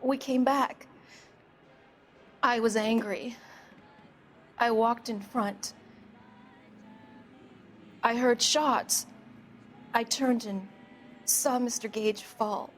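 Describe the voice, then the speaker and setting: An actress playing a nervous, evasive young shop girl giving halting witness testimony in a video game. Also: a little high, steady tone, a little hiss or hum. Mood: shaken. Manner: hesitant, halting, plaintive. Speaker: witness being questioned by police. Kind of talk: video game dialogue acting.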